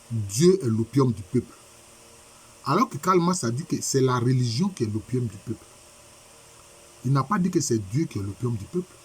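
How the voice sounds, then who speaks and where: Husky-voiced male preacher with a cold. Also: medium pitch, darkish tone, poor audio. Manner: repetitive, emphatic, rhetorical questions. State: has a head cold. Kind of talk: sermon by video conference.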